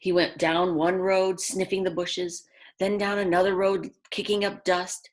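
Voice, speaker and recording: A woman's voice: on the low side, little pitch movement. A woman reading a picture book aloud to young children, expressively and simply, with chatty asides to her listeners. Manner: slow, simple phrasing with dramatic emphasis and chatty asides. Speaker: children's read-aloud storyteller.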